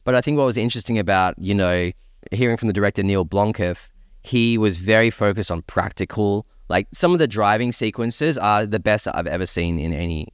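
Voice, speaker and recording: Deep, clear-voiced man chatting enthusiastically. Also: fairly quick, dry recording, studio quality. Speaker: podcast panelist discussing films and games. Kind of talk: casual panel chat.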